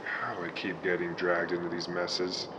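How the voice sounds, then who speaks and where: A man playing a gruff, reluctant hero in a radio drama, with wisecracking tough-guy talk. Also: low-pitched, level tone, moderate pace, background noise, natural voice. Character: gruff reluctant hero, a baker and war veteran. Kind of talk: 1940s radio drama acting.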